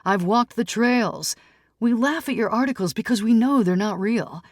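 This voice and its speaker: A woman's voice: on the high side, normal speed, no echo, studio quality, pitched above the performer's own voice, close to an everyday voice. A woman reading an audiobook, voicing a defensive, pleading male skeptic writer who argues earnestly. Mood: indignant, protesting. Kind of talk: audiobook character dialogue.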